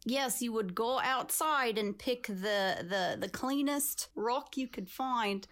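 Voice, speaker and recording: A woman playing a deadpan female guest from Russia in an improvised comedy sketch, speaking with a put-on foreign accent. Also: a little high, takes it slowly.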